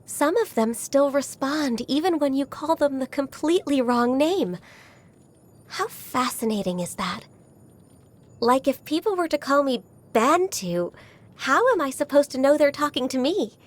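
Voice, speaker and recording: Really high soft girly game character, gentle shy secretary, polite and dutiful. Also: lively intonation, a little slow. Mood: earnest, innocent. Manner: soft-spoken, polite, hesitant when flustered. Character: gentle, diligent half-human young female secretary, shy and dutiful. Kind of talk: video game character voice lines.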